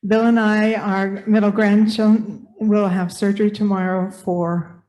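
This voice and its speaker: Older woman, flat delivery, mellow dark tone, calm, composed prayer request. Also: mid-range voice, a little slow, poor audio. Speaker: congregant. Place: church sanctuary.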